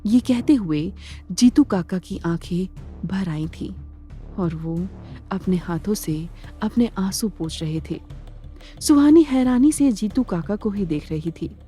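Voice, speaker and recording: A woman narrating a scripted audio drama in a measured, dramatic storytelling manner. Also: mid-range voice, expressive, mellow, faint music behind.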